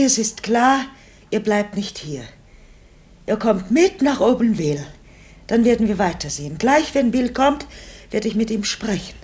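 Slow-speaking, practical mother. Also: medium pitch. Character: practical, dismissive middle-aged mother, insisting on order. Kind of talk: German radio play acting.

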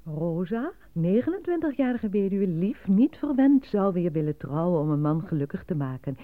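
Een actrice die in een hoorspel een omroepster speelt die formeel en weloverwogen berichten voorleest. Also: female voice, lowish voice, very animated. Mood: measured announcing. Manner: deliberate, formal announcing. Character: measured female announcer reading slogans and lonely-hearts ads. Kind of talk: scripted announcement in a radio play. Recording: dry, narrow phone-like old recording.

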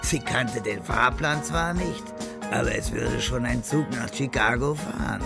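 The composed narrator of a crime story on radio. His voice is medium in pitch with plenty of creak. Music runs clearly underneath. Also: a little slow.

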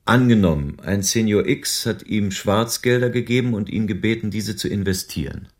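A world-weary private detective in a radio crime drama, played by a man. He explains things patiently and soberly in a lowish voice. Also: normal speed, natural voice. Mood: explaining.

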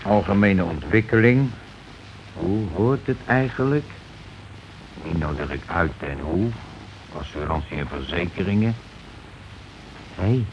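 Gruff low-voiced grumbling husband. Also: male voice, expressive, measured pace, long pauses. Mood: grumbling, matter-of-fact. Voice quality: coarse colloquial speech. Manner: nagging, swearing, impatient. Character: gruff, grumbling working-class husband, bossy and irritable. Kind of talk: naturalistic radio play acting. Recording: dull, noisy old radio recording.

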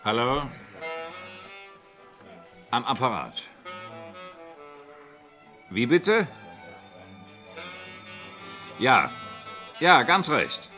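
A slow-spoken phone caller. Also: male voice, mid-range voice, huge pitch swings, pushed and bright, faint music behind, heavily acted. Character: man answering a phone call. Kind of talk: 1960s radio play acting.

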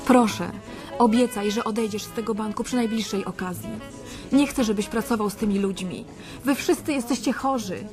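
A woman's voice: medium pitch, moderate pace, over music, close to an everyday voice. Actress as an indignant young woman. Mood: pleading. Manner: reproachful, pleading.

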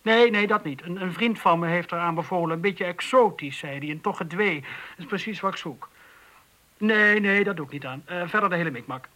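A man's voice: sits high, fairly quick, bright, forceful tone. An actor in a Dutch radio drama playing a cocky, wisecracking male police detective with a blustering, colloquial manner. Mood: businesslike.

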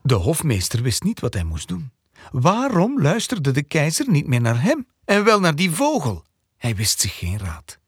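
A man's voice: on the high side, huge pitch swings, moderate pace, husky, exaggerated voice. A man narrating a fairy tale for children as a wry storybook narrator in an audio drama. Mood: wry storytelling.

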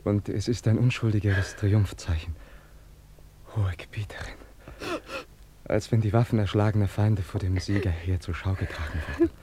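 An actor playing a devoted young nobleman huntsman in a radio drama, with halting, reverent courtly speech. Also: lowish voice, fairly even intonation, mellow, slight background noise, close to an everyday voice. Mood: gallant. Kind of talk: classic German radio drama acting.

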